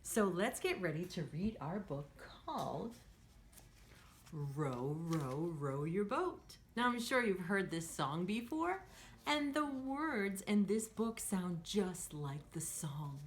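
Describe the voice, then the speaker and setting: A woman hosting children's storytime, reading a picture book aloud in an expressive, sing-song manner and talking directly to the young listeners. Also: on the low side, takes it slowly.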